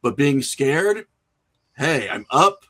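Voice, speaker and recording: A man's voice: mid-range voice, sing-song, slow, pushed and bright. A middle-aged man talking casually and reflectively as an interview guest, telling things in an informal, anecdotal way with a bit of chuckling. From small rural town. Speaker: podcast guest, horror filmmaker and writer.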